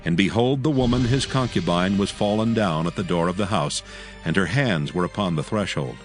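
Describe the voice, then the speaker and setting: A man's voice: deep voice, brisk, faint music behind. A man narrating scripture dramatically, with a solemn and formal delivery.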